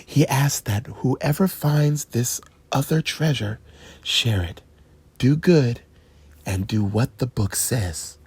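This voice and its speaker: A storyteller voicing a calm father who explains the family history to his son. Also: mid-range voice, husky, dry recording, exaggerated voice. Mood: soft. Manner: soft, measured storytelling. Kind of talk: children's storybook read-aloud.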